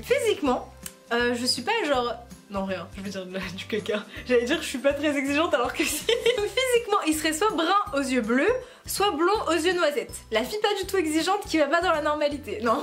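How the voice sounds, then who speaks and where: A young woman from France talks to her viewers in a loose, joking vlog style, laughing at herself as she goes. Her voice sits high, and faint music plays quietly behind her. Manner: playful, giggly, self-mocking. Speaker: YouTuber. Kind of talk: casual vlog chat to camera.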